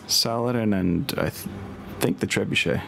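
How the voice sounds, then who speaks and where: Deep-voiced man running a tabletop game. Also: normal speed, creaky. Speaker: game master. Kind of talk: narrating a tabletop war game on stream. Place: indoors at home, online group call. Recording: music playing behind the voice. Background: music.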